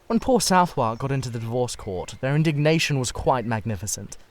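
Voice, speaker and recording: A man's voice: medium pitch, animated, brisk, natural voice. A man voicing a languid, witty aristocrat who speaks in teasing, cynical epigrams for a dramatised storybook reading. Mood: pompous amusement. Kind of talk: dramatised literary audiobook reading.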